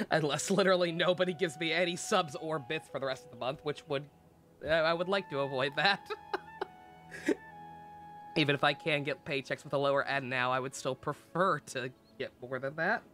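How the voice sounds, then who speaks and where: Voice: medium pitch, moderate pace, a bit bright. A game streamer chatting casually and wryly, joking and swearing freely. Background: game music.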